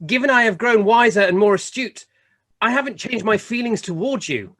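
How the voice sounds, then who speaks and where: A man's voice: sits high, expressive, normal speed, a bit bright. A man playing a defensive, money-driven man in his prime, argumentative, in an amateur radio play.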